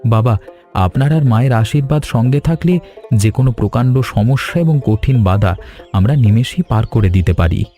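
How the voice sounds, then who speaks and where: Male actor as young cartoon village son, mellow, mid-low voice, respectful and brave. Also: expressive, moderate pace, faint music behind, lightly acted. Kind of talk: animated cartoon story acting.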